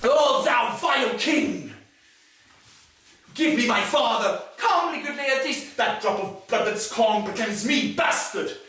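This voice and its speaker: A man's voice: very high voice, expressive, slow, bright, forceful tone, exaggerated voice. A man playing a raging young nobleman. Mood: enraged. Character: furious young nobleman bent on revenge. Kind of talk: classical stage acting. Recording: echoey stage recorded from far off.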